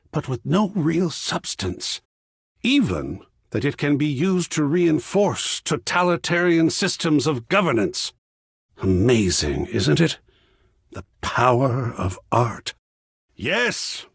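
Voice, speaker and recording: An actor playing a refined, earnest art curator in a video game, with a genteel, measured and theatrically expressive delivery. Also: male voice, fairly high pitch, husky, dry recording, studio quality, heavily acted.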